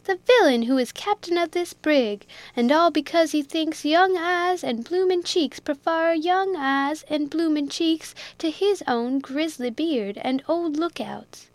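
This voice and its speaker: A woman's voice: high voice, a little slow, no room sound, voice pushed up. A woman reading aloud, voicing an indignant male deputy steward who pleads and rails in a heated, strained manner.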